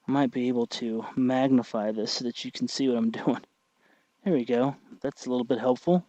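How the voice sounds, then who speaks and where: A man narrating a casual hands-on tutorial, thinking aloud in a halting way as he works. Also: mid-range voice, a little mellow, no room sound, lo-fi sound. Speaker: tutorial host.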